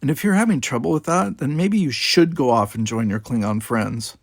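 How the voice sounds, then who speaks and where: A man's voice: mid-range voice, moderate pace, creaky, no room sound, studio quality, pitched above the performer's own voice. A man voicing a feisty young human woman cadet, blunt, pointed and colloquial, in a story reading. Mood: angry.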